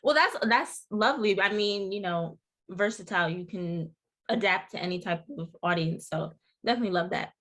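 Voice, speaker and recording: A woman's voice: medium pitch, normal speed, fairly bright tone. A woman interviewing a guest, upbeat and affirming as she asks questions.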